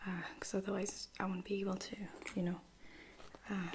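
A woman acts out a gentle female lieutenant from a space-adventure ASMR roleplay. She whispers breathily with hesitant pauses, staying reassuring and caring in a fairly even pitch. Clear noise runs under the recording. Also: lightly acted. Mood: reassuring, careful. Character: caring, apologetic female space-soldier lieutenant tending a wounded stranger.

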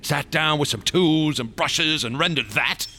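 An actor in a radio drama playing a paranoid, menacing wax sculptor, with a gravelly voice and a sneering, theatrical, taunting delivery. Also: male voice, a little high, a little slow, bright, forceful tone. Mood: scornful.

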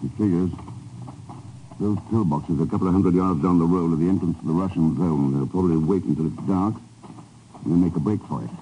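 Cool, unruffled secret agent, very low and mellow, dry authority, 1950s radio thriller, noisy old recording. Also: male voice, a little fast, husky. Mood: cool, businesslike. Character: cool, commanding male American secret agent. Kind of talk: 1950s radio drama acting.

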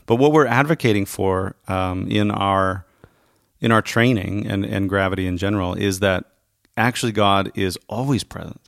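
A man with a slightly low voice and noticeable creak, recorded close and dry. He hosts a podcast in a casual, informal way, talking in halting phrases with little asides. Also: a bit bright, no echo. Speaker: podcast host.

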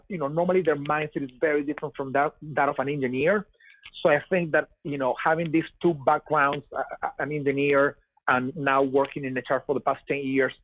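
A Venezuelan man in his forties with a Venezuelan Spanish accent, a guest chatting casually in an interview, often repeating words and filling with "you know". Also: on the high side. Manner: repeats words, many "you know" fillers, laughs easily. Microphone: over a web call. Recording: dry, phone-like web call.

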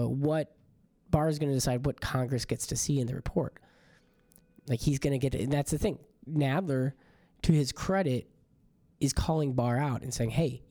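Hoarse young man, podcast host, rambling casual monologue, dry close sound. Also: medium pitch, warm tone, no echo. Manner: loose, rambling, exasperated.

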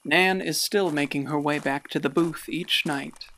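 Folksy gravelly male small-town storyteller. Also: fairly high pitch, fairly even intonation, pushed and bright. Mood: reflective. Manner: unhurried, wistful storytelling. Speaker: narrator. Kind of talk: folksy radio play narration.

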